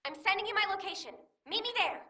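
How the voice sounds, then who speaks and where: Very high-pitched, bubbly young female scout. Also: expressive, fast, pushed and bright, exaggerated voice. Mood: eager, excited. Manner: bubbly, eager. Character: eager, upbeat, energetic young female scout in high-tech suit. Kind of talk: video game voice acting.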